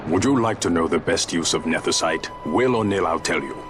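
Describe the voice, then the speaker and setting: A commanding sky pirate from a fantasy game. He is very deep, brusque and theatrical, with old-fashioned phrasing. A loud score plays behind him. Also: animated, brisk, music in the background. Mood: grim, brusque. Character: gruff, blunt, commanding sky pirate fleet leader. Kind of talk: dramatic video game cutscene acting.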